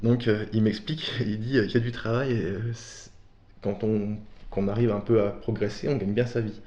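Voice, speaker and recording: A man's voice: on the low side, fairly even intonation, normal speed, dark tone. A man from Belgium talking casually as an interview guest, telling personal stories in a candid, informal, slangy way. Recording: dull, roomy echoing sound.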